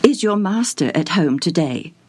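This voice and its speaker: A woman's voice: lowish voice, expressive, moderate pace, darkish tone, breathy edge. A woman voicing a polite visitor man. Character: polite adult male caller at the door.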